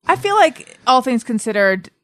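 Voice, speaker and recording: A woman's voice: fairly high pitch, big pitch swings, moderate pace, a bit bright, no echo. A woman hosting a podcast, asking quiz questions and chatting in a playful way. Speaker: podcast host. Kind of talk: casual chat, quiz hosting.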